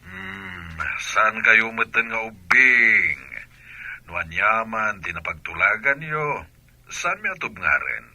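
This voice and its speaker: A man's voice: fairly low pitch, sing-song, slow pace, gravelly, bright, forceful tone, no room sound, exaggerated voice. A man playing a doting middle-aged father in a radio comedy drama. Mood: concerned, indulgent.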